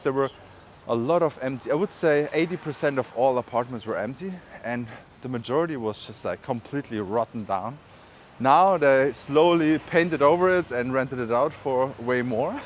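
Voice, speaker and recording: A man from Germany with a German accent, chatting casually and rambling with informal asides in a walking vlog. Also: mid-range voice. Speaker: vlogger. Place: outdoors on city streets while walking. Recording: outdoor street noise, passing traffic. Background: urban street ambience, vehicles.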